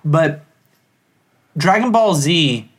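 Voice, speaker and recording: A man's voice: a little high, extremely slow. A man hosting a podcast, chatting casually and animatedly with his guest and co-host. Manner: animated, laughing, frequent "you know". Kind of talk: casual chat.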